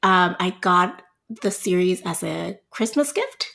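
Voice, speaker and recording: A woman's voice: on the low side, lively intonation, measured pace, gravelly, fairly bright tone. A woman in her thirties from Puerto Rico, a podcast guest chatting casually with frequent ums and laughs. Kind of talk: casual interview chat.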